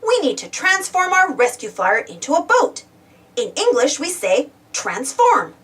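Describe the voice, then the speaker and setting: Female children's storybook reader, high voice, highly animated and exclamatory, recorded a little away from the mic. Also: unhurried. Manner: animated, exclamatory, addresses young listeners with questions. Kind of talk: expressive children's read-aloud. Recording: distant from the mic.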